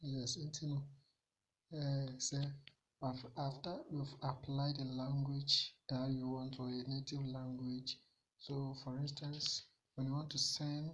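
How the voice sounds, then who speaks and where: A man from Ghana with a Ghanaian accent and Akan as his native language, giving an informal, halting, repetitive step-by-step tech tutorial that mixes two languages. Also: medium pitch, a bit bright, slight background noise, low-quality recording.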